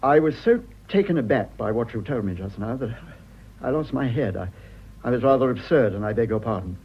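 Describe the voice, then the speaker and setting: An actor playing an earnest, awkward, lovelorn husband in a British radio drama, halting and apologetic as he pleads. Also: medium pitch, expressive, husky, dull audio.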